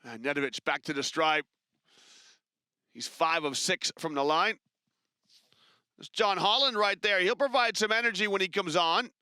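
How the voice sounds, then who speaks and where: Bright, crisp male basketball commentator. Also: high voice, no echo, clean studio sound. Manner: brisk, chatty, rises with excitement on big plays. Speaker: play-by-play commentator.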